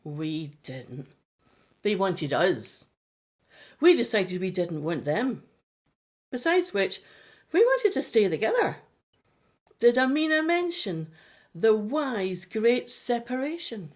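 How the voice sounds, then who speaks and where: A knowing, defiant woman of a radio play, voiced by an actress. She explains things in a slow, deliberate way at a middling pitch. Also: sing-song. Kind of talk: audio drama acting.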